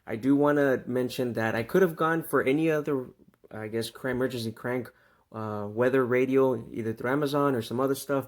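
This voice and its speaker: A man with a medium-pitched voice talks through a product in a casual review style. He sounds informal and unscripted, listing features with a somewhat halting delivery. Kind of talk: casual unboxing review commentary.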